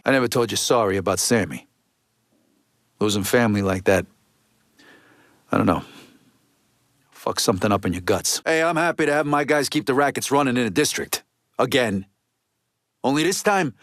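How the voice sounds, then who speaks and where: A man's voice: a little high, fairly bright tone, no room sound, studio quality. A man playing a tough, resentful middle-aged Italian-American mobster in a video game, with an East Coast city American accent and a blunt, streetwise way of talking. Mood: low, grave. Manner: blunt, profane, streetwise wiseguy talk. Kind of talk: video game character dialogue.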